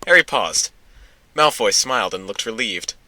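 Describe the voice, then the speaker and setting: A man's voice: fairly low pitch, lively intonation, normal speed, pushed and bright, dry recording. A man narrating an amateur dramatic reading of fan fiction, with casual asides.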